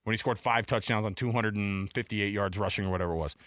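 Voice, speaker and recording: A man's voice: fairly low pitch, fairly even intonation, fast, fairly bright tone, no room sound, studio quality. A man co-hosting a casual sports talk show, conversational and repeating phrases for emphasis.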